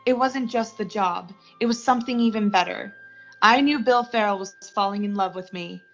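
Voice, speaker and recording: A woman's voice: medium pitch, moderate pace, muffled recording, faint music behind, close to an everyday voice. A young woman playing an ambitious, blunt, easily rattled career woman in a 1940s-style suspense radio play. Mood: confiding, tense. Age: young adult.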